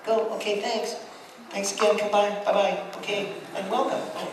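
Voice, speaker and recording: A man's voice: sits high, flat delivery, moderate pace, voice pushed up, close to an everyday voice. A man voicing a very young, chirpy, polite male anime character with an affected, odd-sounding voice. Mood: chirpy, friendly. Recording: noisy, very echoey room, distant mic.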